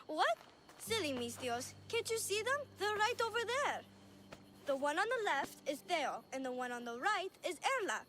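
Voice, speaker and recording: A woman's voice: very high voice, very animated, normal speed, fairly bright tone, slight background noise, heavily acted. An actress playing an innocent, lonely little girl in a video game, earnest and playful. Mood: cheerful. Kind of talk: video game cutscene acting.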